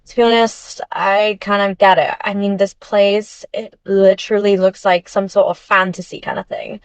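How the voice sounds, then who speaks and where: A woman playing a confident, supportive teenage girl model in an animated school drama. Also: mid-range voice, normal speed, clear, resonant tone, dry recording, close to an everyday voice. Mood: reassuring. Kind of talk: animated game-series dialogue.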